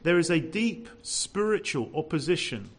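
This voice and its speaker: Man, preacher, expressive and loud, rises and falls a lot. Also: fairly high pitch, normal speed. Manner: lively, animated preaching with rhetorical questions; says he has a loud voice. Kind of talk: sermon.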